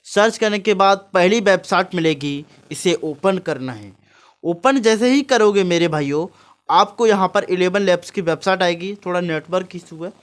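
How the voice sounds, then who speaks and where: A man hosting an informal, step-by-step screen tutorial. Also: sits high. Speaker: tutorial host.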